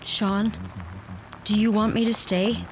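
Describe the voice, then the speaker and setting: An actress playing a gentle, polite young American woman in a radio play. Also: medium pitch, fairly even intonation, slow, a little hiss or hum, lightly acted. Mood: wistful.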